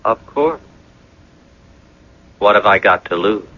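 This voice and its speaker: A man's voice: on the low side, animated, takes it slowly, heavy background noise. An actor playing a broke, ailing blackmailer in his thirties, wheedling and insinuating in a radio drama. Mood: smug, greedy. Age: 30s. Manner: wheedling, insinuating, calls her doll. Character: broke, ailing 39-year-old blackmailing ex-boyfriend, slyly menacing. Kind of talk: 1980s radio drama acting. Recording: dull lo-fi old radio broadcast.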